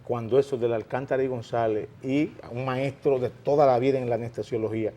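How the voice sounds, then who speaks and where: A man's voice: mid-range voice, brisk, a little hiss or hum. A middle-aged Dominican man hosting a radio talk show, telling stories with energy and talking over his co-hosts. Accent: Dominican Spanish. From Dominican Republic. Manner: animated storyteller, talks over others. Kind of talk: casual panel chat.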